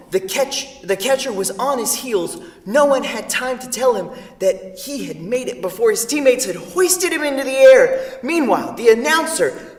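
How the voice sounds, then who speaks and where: A man acting an earnest young man, echoey stage. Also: extremely high pitch. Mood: excited, swept up. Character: earnest, wry, awkward young man, passionate storyteller. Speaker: actor in a staged play reading. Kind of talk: staged reading of a comedic two-person play. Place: theatre stage, picked up from a distance. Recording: echoey theatre hall, distant microphone.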